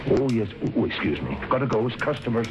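A man's voice: mid-range voice, big pitch swings, fast, over music, lightly acted. An actor playing a genial, familiar barman making chuckling small talk in an old radio drama. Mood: confiding, amused. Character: chatty, genial barman, ex-bootlegger's helper. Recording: muffled, noisy old radio recording.

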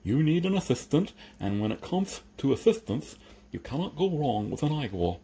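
A husky storybook creature servant, acted out by a man, stitched together and endlessly obsequious. The delivery swings widely in pitch, formal and unflappable, with a grumbling edge as it sells its own services. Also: big pitch swings, normal speed, dark tone, full character voice. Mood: obsequious, confident. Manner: deferential, formal, salesmanlike.

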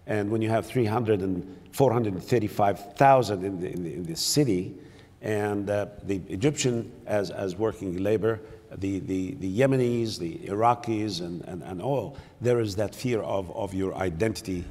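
An older man on a lapel mic at a conference panel, talking in a halting way, full of fillers and repeated words. His voice sits low with a husky edge. The sound carries a long reverb from the hall. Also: darkish tone. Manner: halting, many repeated words and fillers, gesturing with both hands. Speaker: panelist, city mayor. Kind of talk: panel discussion. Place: large conference hall, on stage.

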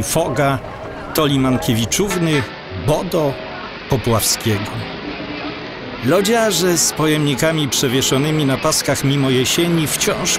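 A man narrating a scripted audio drama in a measured, descriptive manner. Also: mid-range voice.

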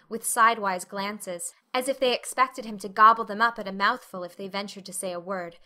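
A woman's voice: mid-range voice, bright, forceful tone. A woman narrating a novel as a scripted, dramatic audiobook reading.

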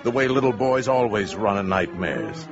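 A man's voice: lowish voice, animated, moderate pace, gravelly. A man with an American accent narrating a 1950s science fiction radio drama in a dramatic, suspenseful way. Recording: muffled 1950s radio recording, music behind.

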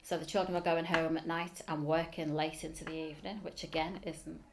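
A woman's voice: deep voice, level tone, fairly quick, vocal fry. A middle-aged woman with a Liverpool accent, speaking earnestly in an interview in a school office, with frequent ums. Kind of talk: oral history interview. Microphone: seated facing camera.

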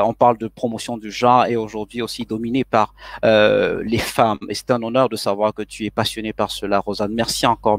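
A man's voice: mid-range voice, dry recording. A man moderating a live online panel in a formal, hesitant way with many fillers. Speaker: webinar moderator.

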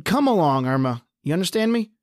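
Brief: a curt, bossy basement engineer, radio drama, dry close read. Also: male voice, a little high, big pitch swings, fairly quick, no echo. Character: gruff impatient male building engineer, bossy. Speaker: host and actor. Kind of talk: old-time radio drama acting.

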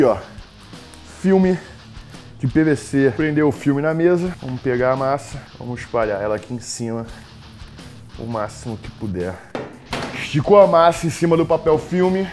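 Brash young man hosting a cooking vlog. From Brazil. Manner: brash, jokey, swings between loud hype and low mutters. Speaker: cooking show host. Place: home kitchen, indoors.

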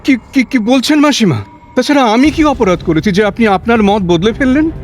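A man's voice: very high-pitched, normal speed, darkish tone. An actor playing a chatty, polite young man in love in an audio drama. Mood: worried, pleading. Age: young adult. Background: music.

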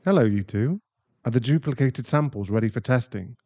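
A man acts a composed doctor with quiet authority in an audio drama. His voice is mellow and mid-pitched, on a slightly dull recording. He is formal, exact and clinical. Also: animated, brisk, natural voice. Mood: cool, businesslike. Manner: formal, precise.

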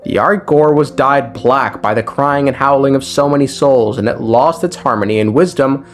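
A man's voice: mid-range voice, normal speed. A man narrating a story recap of game lore as casual commentary, irreverent and slangy, swearing freely. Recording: music under the voice. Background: background music.

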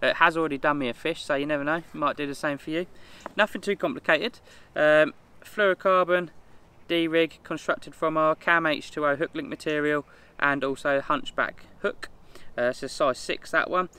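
A man's voice: on the high side, steady tone, moderate pace, bright, forceful tone, clear, resonant tone. A man in his thirties outdoors, giving a friendly, easygoing run-through of his fishing rig. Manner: friendly, easygoing, with ums and uhs. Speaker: angler, team member demonstrating a rig. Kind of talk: casual product demonstration. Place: outdoors by a hedge.

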